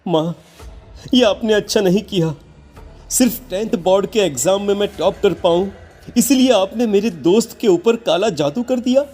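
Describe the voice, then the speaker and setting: Man acting a mellow-voiced, upset teenage son. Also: high-pitched. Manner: earnest, distressed. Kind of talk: horror cartoon voice acting.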